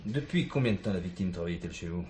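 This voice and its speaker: A man's voice: deep voice, lively intonation, very fast, very noisy. A man playing an authoritative, methodical police chief in a French detective stage comedy. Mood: measured authority.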